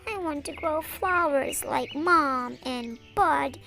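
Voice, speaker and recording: A woman's voice: high-pitched, slow pace, gravelly, bright, forceful tone, faint music behind, pitched above the performer's own voice, exaggerated voice. A woman voicing an earnest, plaintive little girl in a children's storybook read-aloud. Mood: disappointed. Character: sad, earnest little girl who wants to garden.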